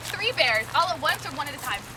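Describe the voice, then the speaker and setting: A woman's voice: high voice, big pitch swings, fast talker. A woman playing an eager, polite, talkative guide in an outdoor historical dramatization, speaking in a theatrical, exclamatory way. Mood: curious. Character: eager, nervous, talkative woman guide searching for Laura Secord. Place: outdoors in a park. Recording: clear outdoor park noise.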